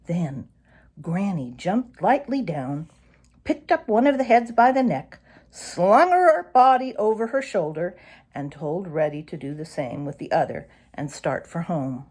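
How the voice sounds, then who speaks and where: A woman reads a children's story aloud as narrator, in an expressive storytelling style. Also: on the low side, unhurried, slightly rough. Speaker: storybook reader.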